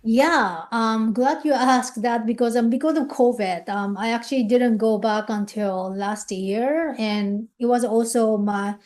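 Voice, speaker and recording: A woman's voice: a little high, measured pace, hardly any pauses, a little mellow. A Japanese woman in her thirties, a researcher, speaking English with a Japanese accent, talking freely in an interview with many "like, you know" fillers. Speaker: guest researcher. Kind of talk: interview conversation.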